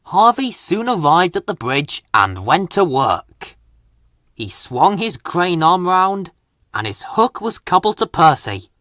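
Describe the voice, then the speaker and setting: Slow-paced, bright-voiced male storybook narrator. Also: fairly high pitch, no room sound, studio quality. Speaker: storybook reader. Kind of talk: scripted children's story narration.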